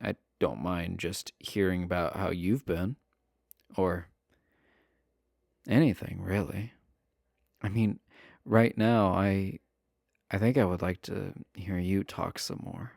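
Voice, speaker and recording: Low, slow, shyly stammering villain played by a man. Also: steady tone, creaky, a little mellow, dry recording, clean studio sound, heavily acted. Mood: earnest, wistful. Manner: hesitant, stammering, awkwardly earnest. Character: shy, adorkable imprisoned villain, friendly and earnest. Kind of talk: romantic roleplay audio, spoken to the listener.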